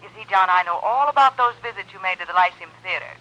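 A woman playing a sharp, self-assured dame in a 1940s radio detective drama, speaking crisply and assertively. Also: mid-range voice, fast, dull audio, natural voice. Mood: cool, scornful.

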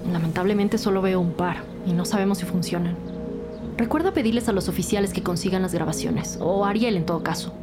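A woman's voice: medium pitch, brisk, over loud music, close to an everyday voice. A woman playing a methodical, level-headed police detective in an audio drama. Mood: thoughtful.

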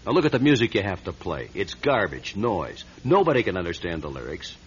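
A man's voice: low-pitched, sing-song, slightly rough, exaggerated voice. A man playing a brash, pushy, self-assured aspiring disc jockey in a radio drama, eager and insistent. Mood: cocky, excited. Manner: eager, insistent, fast-talking salesman. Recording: dull old radio recording.

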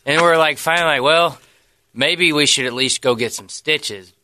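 A man telling a story in an animated way during a casual chat. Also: fairly high pitch, normal speed, no room sound.

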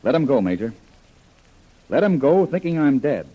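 An actor playing a rugged, wry adventurer hero who muses aloud, in the style of old-time radio drama. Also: male voice, medium pitch, big pitch swings, moderate pace, breathy edge, lo-fi sound. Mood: confident.

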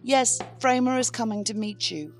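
A woman playing a brisk, protective tech operative in a science fiction audio drama. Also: a little high, animated. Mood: firm, pleading. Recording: music under the voice.

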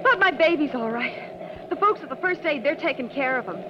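A woman's voice: high voice, lively intonation, fairly quick. A flustered tenement mother. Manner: breathless, fussy, rambling. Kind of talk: 1940s radio drama acting. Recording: dull old radio recording with hiss.

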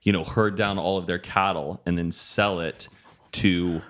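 Young man from Texas, casual podcast chat, animated, laughs a lot, lowish pitch. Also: unhurried. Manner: animated, laughs often, breaks into comic voices. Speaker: podcast host.

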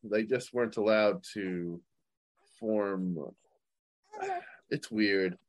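A man's voice: lowish voice, drawn-out words, dry recording. A man co-hosting a casual podcast over an online call, rambling and tentative. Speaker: podcast co-host.

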